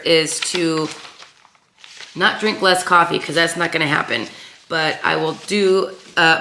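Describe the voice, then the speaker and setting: A woman vlogger with a raspy voice left over from a sinus infection, chatting casually to her viewers with lots of ums and repeats. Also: on the low side, pushed and bright, echoey room. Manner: rambling, many ums and uhs, repeats herself. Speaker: craft channel host. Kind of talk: casual chat to camera.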